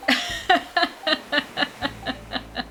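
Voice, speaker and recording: A woman's voice: high-pitched, extremely slow. A young woman streaming from her room with a mild cold, chatting casually and scatterbrained while she plays and answers chat. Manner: rambling, scatterbrained, lots of ums and restarts; soft laughs, reads and answers chat. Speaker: livestreamer. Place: room at home with the window open. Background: game music.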